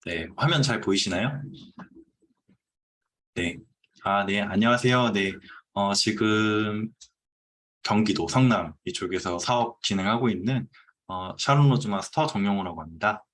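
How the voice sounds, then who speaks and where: A man around thirty giving a relaxed, conversational talk on a video call, pausing often with "uh". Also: on the low side. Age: 30s. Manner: relaxed, conversational, frequent "uh" pauses. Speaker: guest speaker presenting his business story. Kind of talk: casual presentation on a video call. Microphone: computer mic on a video call.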